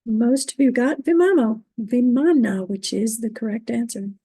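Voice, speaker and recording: A woman moderating an online trivia quiz, reading out questions and standings, who is losing her voice and keeps clearing her throat. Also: a little high, mellow, no echo. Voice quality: gravelly, husky. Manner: upbeat, reading standings, halting on invented words.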